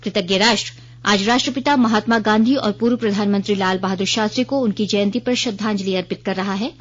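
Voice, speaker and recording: Female radio newsreader, formal bulletin delivery, medium pitch, lo-fi broadcast audio. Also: steady tone, fast talker. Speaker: radio news reader. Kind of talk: formal scripted Hindi news bulletin reading.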